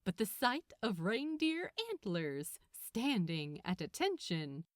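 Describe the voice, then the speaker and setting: A woman's voice: medium pitch, very animated, slow, no echo, studio quality. A woman narrating a piece of fiction expressively, as a scripted audiobook-style reading.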